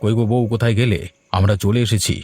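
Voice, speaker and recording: Man acting a fast-talking farmer brother in a cartoon. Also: lowish voice, steady tone, darkish tone, close to an everyday voice. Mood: homely. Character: Bengali village farmer, practical family man, one of three brothers. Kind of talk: cartoon dialogue. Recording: music under the voice. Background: instrumental music.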